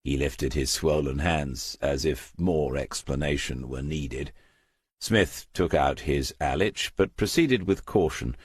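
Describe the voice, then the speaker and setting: A man narrates a crime novel with an extremely deep, husky voice. His delivery is slow and measured, with a literary storyteller's control. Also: no echo, clean studio sound. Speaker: audiobook narrator. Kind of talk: scripted crime fiction narration.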